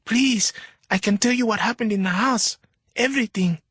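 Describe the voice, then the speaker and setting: Man voicing a scared young Nigerian boy, higher-pitched. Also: moderate pace, fairly bright tone, husky, dry recording. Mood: pleading, fearful. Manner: pleading, wary, simply phrased speech. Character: scared eleven-year-old Nigerian boy, wary gang lookout. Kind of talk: audiobook character dialogue.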